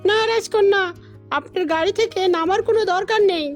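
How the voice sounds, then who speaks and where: A woman's voice: very high voice, normal speed, faint music behind. A woman voicing a cautious, loyal royal attendant in a children's cartoon, deferential and wary. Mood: wary, urgent.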